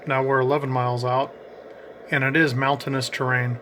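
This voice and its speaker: A man's voice: mid-range voice, flat delivery, fairly quick, very noisy. A man giving casual, explanatory commentary as he narrates a radio range test.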